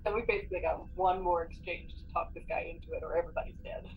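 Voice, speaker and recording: A woman's voice: fairly low pitch, fast, dull audio. Woman game player, noise behind her. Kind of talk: casual collaborative game chat.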